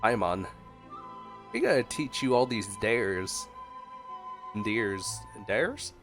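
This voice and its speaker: A male gaming streamer with a medium-pitched voice chats casually as he reacts to a game. His manner is playful, and music plays clearly behind him. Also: huge pitch swings, slow. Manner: playful, chatty.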